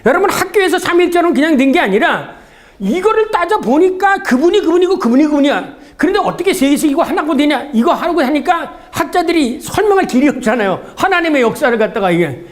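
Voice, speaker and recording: A man's voice: very high voice, very animated, fairly quick. A man giving a theology seminar lecture in a conversational, didactic way, often asking rhetorical questions and answering them himself.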